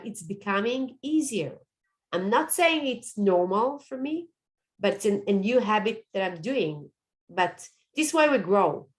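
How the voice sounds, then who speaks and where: A woman, a Hebrew speaker, presents on forming habits in a coaching webinar. She talks earnestly, repeats words and checks in with her listeners as she goes, in a voice of middling pitch. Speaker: positive psychology coach.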